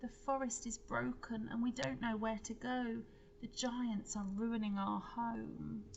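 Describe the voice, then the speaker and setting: A frightened, gentle storybook wolf, acted out by a woman, pleading in a hushed and slow voice. He sounds soft-spoken and timid, with faint noise behind. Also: on the high side, level tone, vocal fry, dull audio. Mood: pleading, scared.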